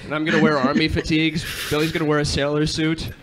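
A man's voice: on the high side, completely flat delivery, fast, poor audio. A man co-hosting a podcast with blunt, joking, crude casual banter and chatter behind him. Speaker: podcast co-host.

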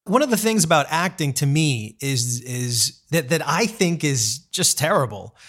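A man's voice: on the high side, fairly bright tone. A man hosting an interview, asking long multi-part questions with curiosity.

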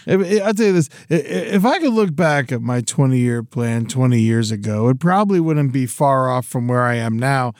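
A man's voice: mid-range voice, normal speed, few pauses, darkish tone, no room sound, studio quality. A man in his forties co-hosting a podcast, chatting casually in a laid-back, rambling way and laughing often. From Florida. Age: 40s. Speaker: podcast co-host. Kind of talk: casual chat.

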